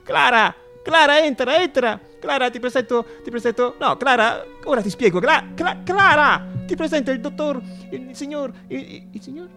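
A man playing an excitable, flustered eye doctor who stammers and repeats himself in a radio stage monologue. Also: very high voice, normal speed, hardly any pauses, faint music behind, pitched above the performer's own voice, heavily acted. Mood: overjoyed, flustered.

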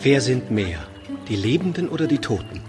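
An actor playing a curious, earnest traveller in a radio drama, posing probing questions. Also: male voice, mid-range voice, very animated, normal speed, loud music behind the voice, close to an everyday voice. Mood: inquisitive.

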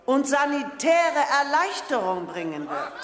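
A pompous lady dignitary speaks high and slowly, halting and repeating herself grandly. It is a condescending ceremonial address from a radio play, with long echoes ringing around her over a crowd. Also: very animated, heavy background noise. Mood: pompous, self-satisfied. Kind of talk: theatrical public speech in a radio play. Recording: echoing public-address hall sound with crowd.

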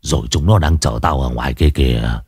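A man voicing a gaunt, haunted old man who is half out of his mind, muttering low and slow. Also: darkish tone, breathy edge, no echo, clean studio sound, full character voice. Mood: haunted dread.

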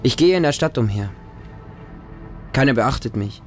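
Man as lonely little street boy telling his story, plain childlike sentences, medium pitch, music behind. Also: expressive, moderate pace, lightly acted. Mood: lonely, wary. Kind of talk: radio drama first-person narration.

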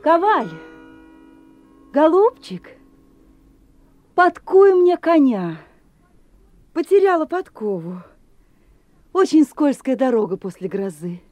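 A woman's voice: sits high, very animated, takes it slowly, long pauses, darkish tone, no echo, faint music behind, exaggerated voice. An actress playing a proud young noblewoman from the capital who talks in a teasing, lilting way, in a radio play. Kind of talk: 1980s radio play acting.

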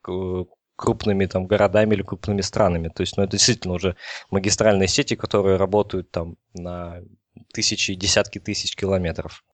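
Low-voiced man explaining tech informally. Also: little pitch movement, fairly quick, no room sound. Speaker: technical expert explaining telecom topics. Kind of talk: casual technical explanation in a podcast discussion.

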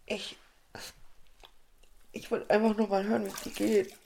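A woman acts out a troubled young woman in a naturalistic audio drama. She speaks haltingly and apologetically, with a slightly gravelly edge, over faint background noise. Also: medium pitch, little pitch movement, dark tone, natural voice. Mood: anxious, hesitant. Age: young adult. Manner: hesitant, halting. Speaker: lead actress and narrator.

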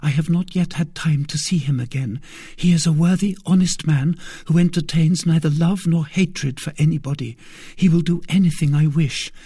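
A man's voice: a little high, moderate pace, dark tone, husky, dry recording, studio quality, pitched above the performer's own voice. A man voicing a devoted young lady-in-waiting who speaks fervently and earnestly. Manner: fervent, earnest, at times whispered.

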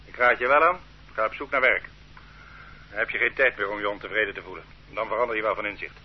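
An actor playing a stern state interrogator who bullies and threatens a suspect in a radio play, in a dull old recording with faint hum. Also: male voice, fairly low pitch, fast talker, natural voice. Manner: bullying, condescending. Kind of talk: radio drama acting.

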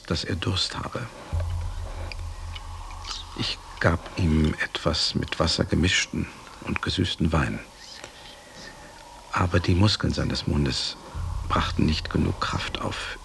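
An actor playing a sober companion who narrates a literary radio play in a grave, measured way. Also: male voice, sits low, vocal fry, a little hiss or hum, heavily acted. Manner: measured, grave, hushed at phrase ends. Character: sober male companion recounting a master's death.

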